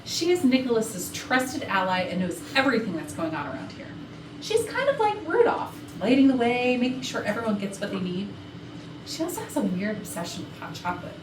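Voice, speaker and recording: A woman's voice: a little high, very animated, fairly quick. A woman playing a gossipy, catty, flirtatious office worker who confides suggestively to the audience in a comedic stage play. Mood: playful, confiding. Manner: chatty, suggestive, conspiratorial. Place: indoor rehearsal room. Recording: roomy rehearsal, distant mic, noisy background.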